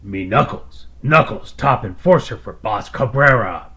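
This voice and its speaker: A man voicing a huge, dim, boastful alien enforcer with a rough rasp and broken English. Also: fairly low pitch, lively intonation, a little slow, bright, forceful tone, heavily acted. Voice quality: rough, raspy.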